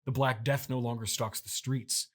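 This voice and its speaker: A man's voice: mid-range voice, fairly even intonation, moderate pace. A man with an American accent speaking casually and dryly in scripted comedic dialogue, as a company founder. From United States. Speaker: audio drama company founder.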